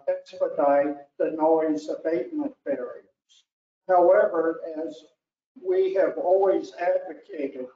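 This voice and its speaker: Slow, halting older man at a hearing. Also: a little high. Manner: slow, halting, with pauses and fillers. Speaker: public commenter, homeowners association president. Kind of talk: public hearing statement. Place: public hearing room. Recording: distant, roomy, muffled hall mic.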